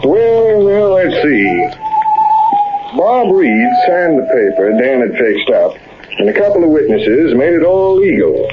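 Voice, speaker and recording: A drawling cowboy storyteller narrates an old radio western with lively, swinging intonation. The sound is dull and lo-fi, with music behind. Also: male voice, a little high, measured pace. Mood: reminiscing. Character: folksy old-West cowboy storyteller, a man. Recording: muffled old-time radio recording.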